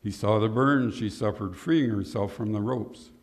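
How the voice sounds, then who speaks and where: A man's voice: on the low side, moderate pace. A man narrating a scripted Western in old-time radio drama style.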